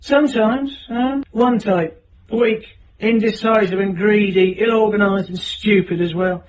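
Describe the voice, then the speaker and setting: A man's voice: high-pitched, fairly even intonation, measured pace, low-quality recording. An actor playing a cocky, hard-edged, self-made middle-aged British man in a radio drama, talking in brash, sardonic, colloquial clipped phrases. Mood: cocky, teasing.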